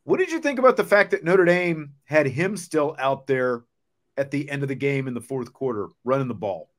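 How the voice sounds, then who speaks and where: A man's voice: mid-range voice, lively intonation, normal speed. A man with a mid-range voice hosts a sports talk show. He speaks casually and conversationally, as if talking things over with a co-host. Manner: conversational, poses questions to co-host.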